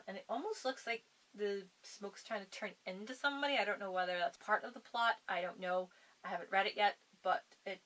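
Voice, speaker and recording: A woman's voice: medium pitch, a bit bright, poor audio. A woman chatting casually and enthusiastically as a book vlogger, her voice a little strained from a lot of talking. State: strained voice from long filming. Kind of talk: casual vlog chat.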